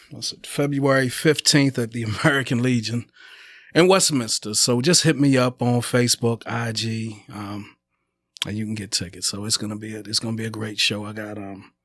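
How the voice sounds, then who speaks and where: A man's voice: medium pitch, fairly bright tone, no echo. A man on a comedy podcast talking in an encouraging, emphatic way, often repeating his own phrases. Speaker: comedian guest. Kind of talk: casual podcast chat.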